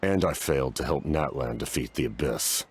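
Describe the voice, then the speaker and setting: An actor playing a stoic, war-weary knight-commander in a fantasy game, speaking formally and gravely. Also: male voice, rumbling low, expressive, normal speed, heavy background noise. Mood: solemn, reflective.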